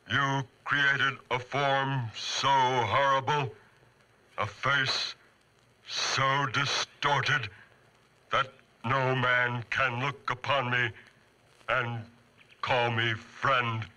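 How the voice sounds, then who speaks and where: An actor playing a lonely, pleading giant monster in halting phrases, in the style of 1940s radio horror. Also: male voice, mid-range voice, steady tone, bright, forceful tone, full character voice. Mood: pleading, insistent. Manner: slow, halting, deliberate phrasing.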